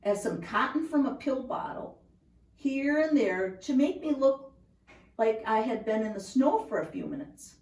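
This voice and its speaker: A woman reading a children's picture book aloud in a measured, deliberate storytelling manner, recorded at home. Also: sits high, animated, darkish tone. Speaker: storybook reader. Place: indoors at home. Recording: roomy home recording, distant mic.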